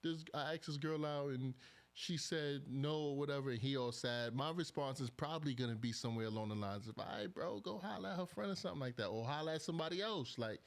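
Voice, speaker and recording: A man's voice: a little high, fast talker. Joking young male podcast host. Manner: joking, laughs often, slangy. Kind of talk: casual podcast banter.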